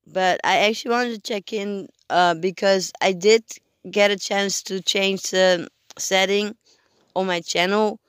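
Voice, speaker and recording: Hoarse, halting vlogger. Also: medium pitch, fairly bright tone, dry recording, studio quality. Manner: halting, hesitant, searching for words. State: hoarse, sick. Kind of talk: casual video check-in.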